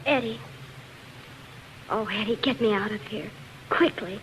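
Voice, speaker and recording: An actress playing a heartfelt young singer and bride-to-be in a 1940s radio drama. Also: female voice, medium pitch, a little slow, fairly bright tone, natural voice. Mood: tender, emotional. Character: heartfelt young woman singer, bride-to-be, quick to feel betrayed. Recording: muffled, hissy 1940s radio broadcast.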